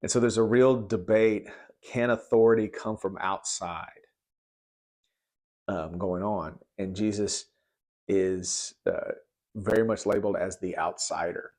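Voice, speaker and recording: A man teaches from the Bible in an informal, conversational style. His voice is on the low side, and he speaks haltingly, repeating words as he explains. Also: fairly even intonation, a little mellow. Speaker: preacher giving Bible commentary.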